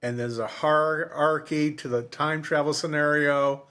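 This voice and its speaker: A man's voice: fairly high pitch, fairly even intonation, measured pace, pushed and bright. A man co-hosting a casual book discussion, talking in a rambling way that often repeats and restarts phrases. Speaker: podcast co-host.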